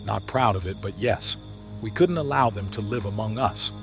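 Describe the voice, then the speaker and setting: A man's voice: on the low side, normal speed, gravelly, soft background music. A man playing a matter-of-fact, weary leader of apocalypse survivors in an audio comic. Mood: grim, matter-of-fact. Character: grim, plain-spoken survivor leader of a town. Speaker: voice actor. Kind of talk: audio comic dub, dramatic dialogue.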